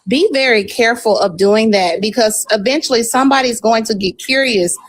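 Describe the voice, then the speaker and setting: A woman's voice: on the high side, a little fast. A woman on a live panel, arguing in a firm, admonishing way.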